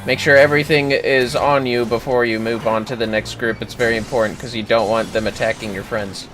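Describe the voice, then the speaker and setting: A man's voice: medium pitch, fairly even intonation, a little fast, fairly bright tone, rough recording. A man livestreaming gameplay, casually explaining tactics step by step with informal, sweary commentary over music. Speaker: livestreamer, gaming host. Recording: music playing behind the voice.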